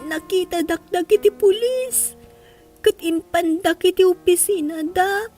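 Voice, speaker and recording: A woman's voice: extremely high pitch, a little slow, dark tone, soft background music. A woman playing a hurt, meek young child in a radio drama, plaintive and tearful, with an Ilocano accent, recorded at a distance. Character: hurt young child who ran away, meek and aggrieved. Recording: distant from the microphone.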